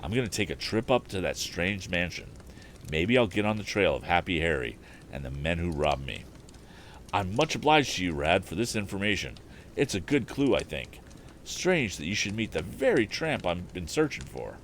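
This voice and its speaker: A man's voice: low-pitched, big pitch swings, normal speed, fairly bright tone, a little hiss or hum, heavily acted. A man playing an eager, earnest young male inventor in a storybook reading. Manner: exclaiming, earnest.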